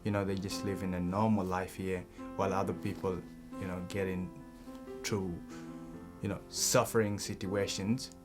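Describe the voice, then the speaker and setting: Young male student, East African accent, deeper register, interview testimony over guitar. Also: flat delivery, moderate pace. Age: young adult. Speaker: refugee student.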